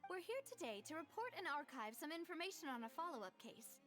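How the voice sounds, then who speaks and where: An actress voicing an eager, persuasive young companion in a video game, chatty and earnest. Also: female voice, high voice, sing-song, quick pace, music in the background. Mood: reassuring.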